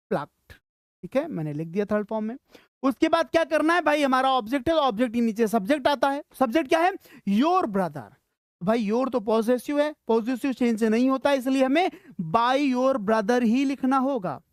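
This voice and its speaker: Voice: medium pitch, no echo, clean studio sound. A teacher gives a live grammar lesson to school students, asking questions, answering them and repeating key phrases.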